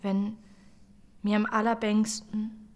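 An actress playing a condemned young mother in a German radio drama, tender and bravely composed, with a halting, breathy, often whispering delivery. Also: medium pitch, monotone, natural voice. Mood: solemn, prayerful.

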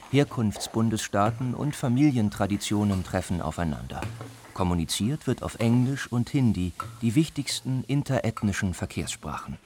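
A man reading a scripted report as a reporter, in a calm, measured way. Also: lowish voice, a little hiss or hum. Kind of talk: scripted narration.

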